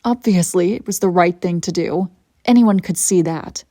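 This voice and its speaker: A woman playing an imperious evil empress in an audio roleplay, coolly seductive and menacing, with theatrical, deliberate phrasing. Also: fairly low pitch, dark tone, dry recording, studio quality, natural voice. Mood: taunting, intimate. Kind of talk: scripted audio roleplay acting.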